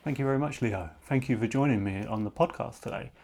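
A man's voice: medium pitch, fast talker, darkish tone. A man hosting a poetry podcast, chatty and rambling, thinking aloud as he asks questions. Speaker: podcast host. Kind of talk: podcast interview.

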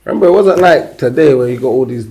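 A man's voice: mid-range voice, animated, fast talker, a little mellow. A man with a London accent, answering interview questions in a laid-back way, full of street slang and repeated "innit". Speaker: guest rapper.

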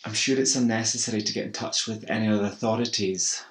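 A man's voice: on the low side, fairly even intonation, fast talker, close to an everyday voice. A man voicing a polite, apologetic young woman nurse. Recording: roomy echo.

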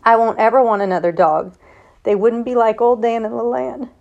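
A woman's voice: a little high, steady tone, a little fast, vocal fry, a little mellow, dull audio. A woman reads as a stubborn young farm boy from a storybook, sunk in grief. He protests plaintively, hurt and unwilling to be consoled. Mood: bitter, defiant. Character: grieving, stubborn farm boy mourning his dogs.

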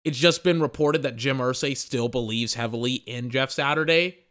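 A man's voice: medium pitch, normal speed, a bit bright. A young man hosting a sports podcast, rambling and emphatic in casual commentary, restarting phrases and swearing, a little tired.

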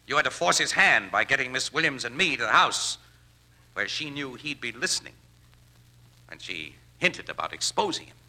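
An American actor playing a wisecracking, hard-boiled crime photographer in brisk, slangy 1940s radio drama. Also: male voice, mid-range voice, sing-song, sharp tone, heavily acted, low-quality recording. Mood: hard, accusing. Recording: vintage 1940s radio broadcast with music.

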